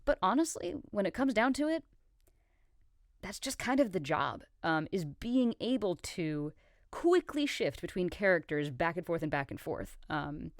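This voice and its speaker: A woman's voice: lowish voice, very animated, a little slow, no echo, studio quality. A woman voice actor casually explaining and answering questions, emphatic and thinking aloud as she goes.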